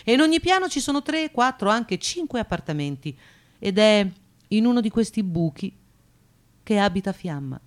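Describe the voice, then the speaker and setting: A woman's voice: medium pitch, sing-song, normal speed, close to an everyday voice. A woman narrating a radio drama in a scripted, theatrical style.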